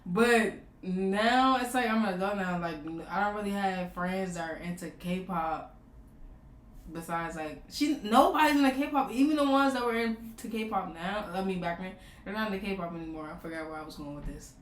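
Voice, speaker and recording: A woman's voice: medium pitch, moderate pace. A woman in her twenties chatting casually, often trailing off mid-thought. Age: 20s. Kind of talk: casual chat. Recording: roomy echo.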